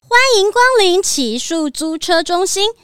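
A woman's voice: very high voice, animated, unhurried, noisy background. A woman playing a polite young rental clerk with chirpy, sing-song customer-service manners in a children's audio drama.

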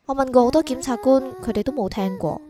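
A woman's voice: high voice, fast talker, mellow, lightly acted. A woman playing a dutiful young soul prosecutor in a radio drama, clipped, rule-bound and defensive in manner. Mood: troubled, reflective. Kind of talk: Cantonese radio drama acting.

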